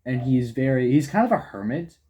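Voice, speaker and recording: A young man co-hosts an offhand movie review with a friend. His voice sits at an ordinary mid pitch, and he talks loosely. He often restarts sentences and throws in a casual swear. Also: expressive, a little fast, mellow, husky, lo-fi sound. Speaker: co-host of a movie review channel.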